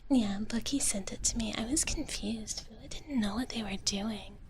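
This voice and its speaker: A woman livestreaming ASMR, chatting casually in a gentle, slow whisper and often repeating short phrases, close to the mic. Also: on the high side, slight background noise.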